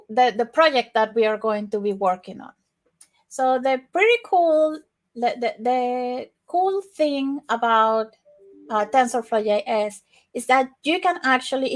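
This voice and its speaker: High-voiced woman with a Venezuelan accent. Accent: Venezuelan Spanish. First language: Spanish. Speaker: tech speaker, workshop presenter. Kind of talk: informal online conference tech presentation.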